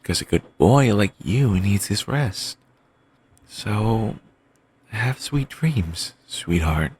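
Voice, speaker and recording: A man playing an obsessive, doting yandere boyfriend in an audio roleplay, talking softly and rambling on with endearments. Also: fairly low pitch, animated, breathy edge, full character voice. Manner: soft, murmuring, slow and rambling endearments.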